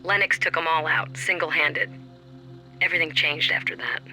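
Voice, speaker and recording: A low female voice as a hard-bitten special operations soldier in a video game. She recounts events cynically, in terse and measured phrases, with music clearly behind her. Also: fairly even intonation, sharp tone, exaggerated voice, low-quality recording. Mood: cynical, grim. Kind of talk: dramatic game cutscene acting.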